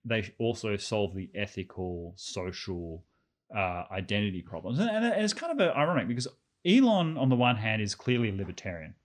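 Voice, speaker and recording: A man co-hosting a casual discussion, earnest and argumentative, often restarting his words, his voice slightly croaky. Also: on the low side, sing-song, a little fast. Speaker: podcast co-host.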